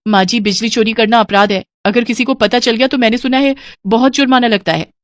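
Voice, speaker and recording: A woman's voice: fairly high pitch, fairly even intonation, brisk, no echo, clean studio sound, pitched above the performer's own voice, lightly acted. A woman voicing a dutiful young daughter-in-law in a cartoon moral story, respectful and pleading. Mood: cautioning.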